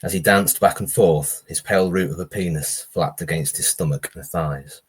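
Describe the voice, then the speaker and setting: A man with a very low voice reads horror fiction aloud as its author. His tone has a husky, roughened edge. The delivery is that of a live short-story reading. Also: normal speed.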